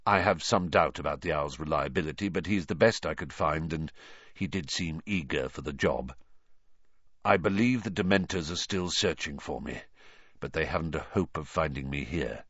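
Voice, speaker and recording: A man voicing a fugitive wizard godfather reading out his letter in a measured, earnest way. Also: extremely low pitch, fairly bright tone, dry recording, highs cut off, voice pushed down.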